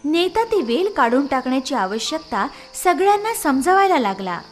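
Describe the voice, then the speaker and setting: Woman, children's story narrator, high voice, expressive intonation, soft music underneath. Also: normal speed.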